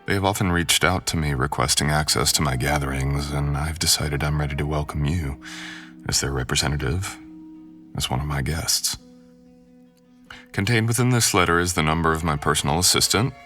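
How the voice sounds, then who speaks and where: An extremely low, bright-edged male voice from an actor plays a mysterious, wealthy lord of the manor in a boyfriend ASMR roleplay. He is suave and possessive, with courtly, old-fashioned manners. Music plays behind him. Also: brisk. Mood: formal, inviting.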